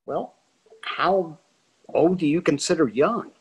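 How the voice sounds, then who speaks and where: An older actor playing a divorced, teasing friend who makes wisecracks, on a video call. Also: male voice, fairly high pitch, slow, breathy edge, heavily acted, rough recording.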